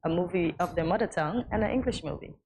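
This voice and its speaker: Chatty woman, low-pitched. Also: a little mellow, slight background noise. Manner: chatty and agreeable, quick to add her own point. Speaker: morning show co-host. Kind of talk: casual studio chat. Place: TV studio.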